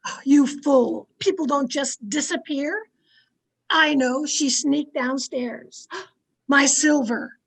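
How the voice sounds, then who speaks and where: A woman acting a domineering, fearful, haunted wife in an old-time radio thriller, with an imperious, dramatic delivery full of halting dread. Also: sits high, vocal fry, exaggerated voice, low-quality recording. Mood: imperious, suspicious.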